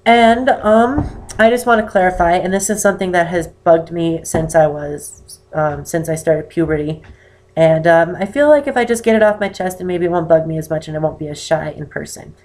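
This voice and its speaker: Young guy, 18, voice really high for a man, kinda strained from damaged cords, lots of ums, chatting to camera in his room. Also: moderate pace, darkish tone. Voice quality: unusually high-pitched voice for a man. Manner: rambling, with many "um"s and self-corrections. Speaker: vlogger. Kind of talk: casual personal talk to camera. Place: indoor room with poor lighting.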